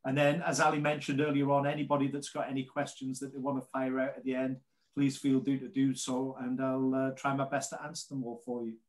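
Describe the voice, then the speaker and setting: A man's voice: medium pitch, completely flat delivery, fairly quick. A man in his late forties with a Geordie accent, presenting chattily and informally as a guest on a video call. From North East England. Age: late 40s. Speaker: ski brand representative and guest presenter.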